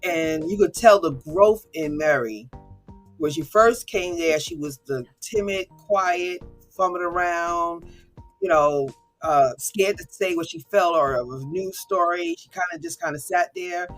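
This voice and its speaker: A woman's voice: on the low side, animated, unhurried, faint music behind. Woman host, casual interviewer, chatty, encouraging, lots of affirmations. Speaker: podcast host. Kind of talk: conversational podcast interview.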